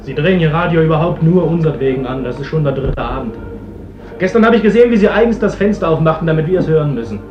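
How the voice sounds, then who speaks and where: A man's voice: a little high, lively intonation, fast talker, natural voice. A young actor playing a sullen, hot-headed fifteen-year-old fisher boy in a radio play. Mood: defiant, angry. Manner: sullen, defiant. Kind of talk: radio drama acting. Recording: muffled roomy old recording, faint hiss.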